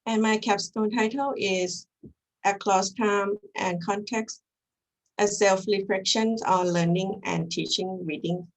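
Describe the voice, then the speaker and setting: A woman's voice: medium pitch, steady tone, rough recording. A young woman from Thailand, a native Thai speaker with a Thai accent, giving an earnest academic presentation as a graduate student over a video call. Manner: measured, earnest, slightly halting delivery.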